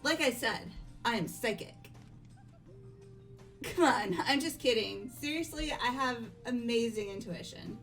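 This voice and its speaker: A woman's voice: on the high side, expressive, unhurried, soft background music. A woman voicing a cheerful, teasing teenage idol girl in a game. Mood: playful. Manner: bright, teasing, upbeat.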